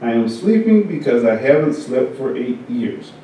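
Man, service reader, very dark mellow tone, heavy room echo. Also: mid-range voice, big pitch swings, moderate pace, slight background noise. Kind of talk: reading a first-person story aloud at a church service. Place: church meeting room. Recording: very echoey hall, mic far off.

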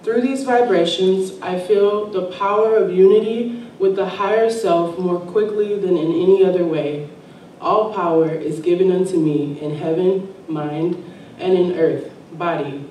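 A woman reading a spiritual text aloud at a church service, slow and measured. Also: on the low side, fairly even intonation, mellow, a little hiss or hum. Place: church sanctuary. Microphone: distant room mic. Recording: echoey sanctuary from far off.

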